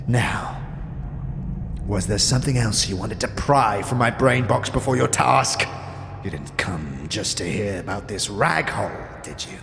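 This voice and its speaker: A man playing a sly, greedy old corpse collector in a fantasy audio drama, gravelly and breathy, with theatrical, taunting speech that drops to whispers. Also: on the low side, animated, background noise. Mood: sly, teasing. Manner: theatrical, drawn-out, taunting speech with archaic slang. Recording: long cavernous reverb.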